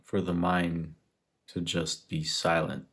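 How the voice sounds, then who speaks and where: A man leading a guided meditation, speaking in an unhurried, reflective way with long pauses between phrases. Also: low-pitched, monotone, fairly bright tone. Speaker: meditation guide, spiritual teacher. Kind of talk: guided meditation, contemplative teaching.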